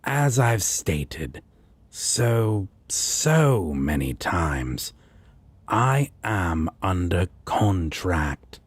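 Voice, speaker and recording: A man's voice: low voice, sing-song, extremely slow, breathy edge, no room sound, full character voice. A man playing a sardonic demon roommate in an audio roleplay, exasperated yet fond, with a dry drawl and pet names. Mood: dry, annoyed.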